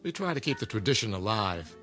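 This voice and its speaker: A dry, earnest jester, leader of an outlaw club in a radio drama. He speaks courteously in measured speeches, over faint music. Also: lowish voice, sing-song, fairly bright tone. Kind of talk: audio drama acting.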